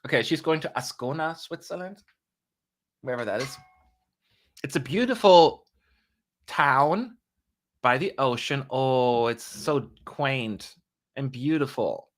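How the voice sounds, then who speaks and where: A man's voice: mid-range voice, slow, pauses often. A young man hosting a live stream, chatting casually with playful asides and jokes. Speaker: livestream host.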